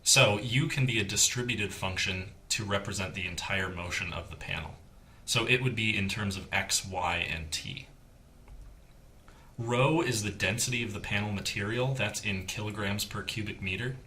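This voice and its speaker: Low-pitched male lecturer, bright crisp edge. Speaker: engineering lecturer. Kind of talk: explanatory technical lecture over slides.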